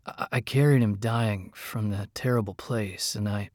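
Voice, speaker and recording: Guy doing a big loyal warrior, husky, earnest, kinda halting, storybook fantasy. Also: fairly low pitch, steady tone, a little mellow, no room sound, studio quality, lightly acted. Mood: haggard, halting. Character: big, loyal, honest male warrior twin.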